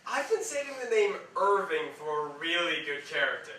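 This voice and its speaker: A man performs a bossy, exasperated comic writer in a staged play reading. The voice is high and very bright, delivered emphatically. It is heard from a distance in a very echoey theatre. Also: sing-song, normal speed. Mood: questioning. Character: impatient, exasperated young male writer, bossy and argumentative. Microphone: distant recording of the stage. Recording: echoey theatre, distant mic, background noise.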